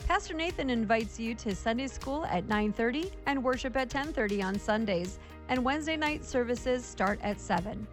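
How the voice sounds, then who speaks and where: A woman reading a scripted radio book promo and sponsor spot in a sincere, even manner. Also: fairly high pitch, normal speed, soft background music. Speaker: radio announcer.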